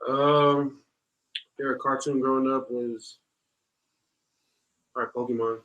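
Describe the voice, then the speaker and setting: A young man, a rugby player guest on a podcast, giving relaxed, short answers. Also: medium pitch, a little slow, low-quality recording. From United States. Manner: laid-back, short answers. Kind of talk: casual interview chat.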